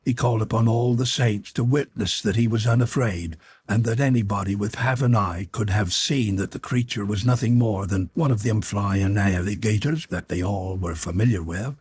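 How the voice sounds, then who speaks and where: Guy narrating an audiobook, lowish really husky voice, story reading, dry sound. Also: moderate pace, few pauses, darkish tone, no room sound. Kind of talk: scripted literary narration.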